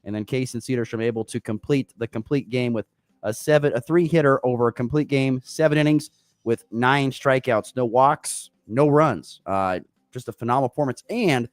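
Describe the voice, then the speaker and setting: A man hosts a morning sports talk radio show, his voice a little hoarse from a sore throat. He talks in an upbeat, rambling way with plenty of fillers. His pitch sits in the middle range, and the sound is dry and close. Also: no room sound.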